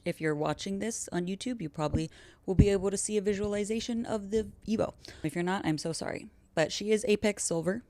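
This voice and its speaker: A woman's voice: fairly low pitch, a little mellow, dry recording. A woman in her twenties hosting her own podcast, chatting casually and upbeat to her listeners. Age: 20s. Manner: chatty, upbeat, self-described yapper. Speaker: podcast host. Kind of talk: casual solo podcast intro.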